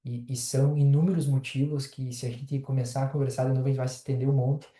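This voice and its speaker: Very dark-voiced Brazilian man, distant and echoey. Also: mid-range voice, level tone, fast talker. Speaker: interview guest. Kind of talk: conversational advice in an interview. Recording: roomy echo, distant from mic.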